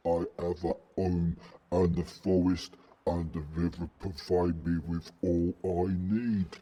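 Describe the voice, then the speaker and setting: Giant troll, very deep, extremely dark husky voice, ponderous, peaceable, audio drama. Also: male voice, level tone, exaggerated voice. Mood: calm, weary resolve. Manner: ponderous, deliberate, broken grammar. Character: gigantic slow-spoken peaceable troll, wary of violent humans.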